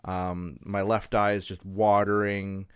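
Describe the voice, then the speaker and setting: A man's voice: lowish voice, flat delivery, takes it slowly, smooth. A man hosting a let's-play, talking casually through a blocked-up sinus, hesitant and full of ums and restarts. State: stuffed up with a sinus infection. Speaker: Minecraft server let's-play host. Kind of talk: casual gameplay commentary.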